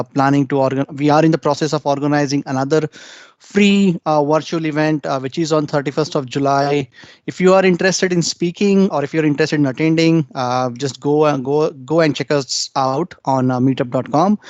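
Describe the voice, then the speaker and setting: A man's voice: fairly high pitch, no echo. A man from India introducing himself as a conference presenter on a video call, with many "uh" fillers. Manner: many "uh" fillers between phrases. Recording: dry, dull video call audio.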